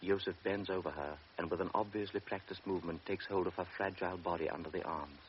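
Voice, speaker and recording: Deep-voiced male radio drama narrator. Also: fairly even intonation, breathy edge. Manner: measured, present-tense storytelling. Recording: dull old radio recording with faint hiss.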